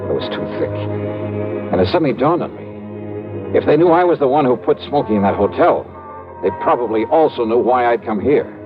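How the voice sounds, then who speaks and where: Man acting a hardboiled radio investigator, animated delivery. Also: mid-range voice, fairly quick, phone-quality sound, close to an everyday voice. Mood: wry, tense narration. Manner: hardboiled, clipped, wry. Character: shrewd, dry-witted male insurance investigator, bluffing and narrating. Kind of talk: 1940s-50s radio detective acting. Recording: band-limited old radio recording, faint music.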